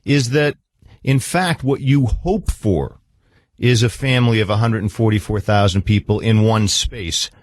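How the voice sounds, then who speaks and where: A man interviewing in a therapy style, deliberate and analytical, pausing as he offers interpretations. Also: lowish voice, no room sound, studio quality. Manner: measured, deliberate, analytical, with halting pauses. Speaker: psychologist interviewer.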